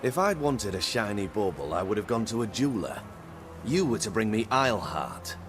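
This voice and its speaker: Imperious fantasy game king, lowish voice, highly varied intonation, sardonic, theatrical, biting. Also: sing-song, moderate pace, over music. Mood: impatient, scornful.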